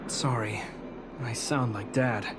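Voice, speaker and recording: A man voicing a protective, cautious older brother in a video game, with an earnest, measured delivery. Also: mid-range voice, fairly even intonation, lightly acted. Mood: earnest, gentle. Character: protective, dutiful older brother, cautious young male fighter. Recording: voice under clear background music.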